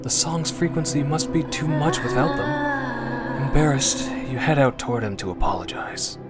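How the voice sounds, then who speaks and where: A man narrating a second-person horror story in a dramatic, suspenseful and hushed way, with drawn-out emphasis. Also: on the high side, huge pitch swings. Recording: music behind the voice. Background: music.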